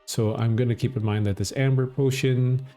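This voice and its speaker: A middle-aged man with a Minnesota accent, explaining a game in a relaxed, easygoing way. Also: mid-range voice, normal speed, soft background music. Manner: relaxed, explanatory, chuckles often. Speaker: gaming channel host. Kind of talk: casual gameplay commentary.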